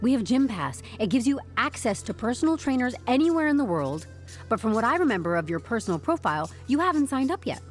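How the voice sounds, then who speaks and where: An actress playing a composed, stubborn HR newcomer in an audio drama, speaking with deadpan corporate politeness and wry candour. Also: female voice, on the high side, fast, soft background music. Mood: brisk, official. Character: composed, stubborn young HR newcomer turning interrogation into interview.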